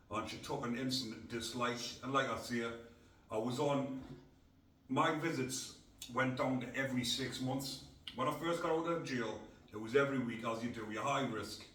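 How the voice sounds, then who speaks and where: A man's voice: medium pitch, fairly bright tone. Guy rambling on a podcast, kinda far from the mic in an echoey room. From North East England. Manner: blunt, rambling storyteller. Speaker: podcast host. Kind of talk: casual podcast chat.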